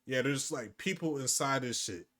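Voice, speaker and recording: A man hosting a reaction video, giving casual, slangy, profane commentary in an animated way. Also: mid-range voice, moderate pace.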